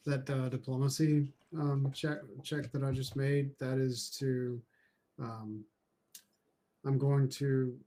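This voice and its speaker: A man talking casually as a tabletop game player, halting as he thinks aloud. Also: medium pitch, a little mellow.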